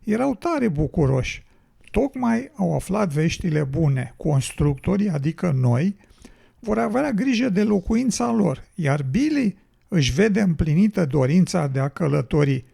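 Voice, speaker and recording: A man's voice: high voice, big pitch swings, vocal fry, dark tone, husky, full character voice. A man playing an anxious, well-meaning road builder with a plaintive manner, in an educational radio drama. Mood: relieved.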